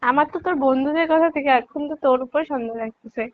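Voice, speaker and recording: A woman's voice: sits high, fast talker. A young woman, chatting casually with a friend over a lo-fi phone call. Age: young adult. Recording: dull lo-fi phone call audio.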